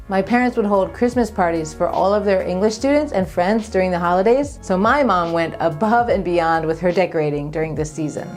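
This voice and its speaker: A woman's voice: on the low side, darkish tone. A woman in her thirties with an American accent, telling personal stories in an upbeat, friendly way as a vlogger. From Japan, raised by American parents. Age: 30s. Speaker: vlogger, channel host. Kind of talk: personal storytelling vlog.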